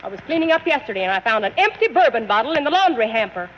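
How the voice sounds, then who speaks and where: Nagging wife, very high wildly swinging voice, exasperated vintage radio comedy on a muffled, noisy broadcast. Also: female voice, very animated. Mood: scolding. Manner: shrill, complaining, rapid-fire scolding. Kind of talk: 1940s radio comedy acting.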